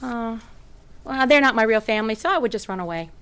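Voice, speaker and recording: A woman's voice: fairly high pitch, animated, a little fast, over loud music, lightly acted. An actress playing a wounded, defiant eleven-year-old girl in a radio drama, blunt and protesting. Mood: guarded, wistful.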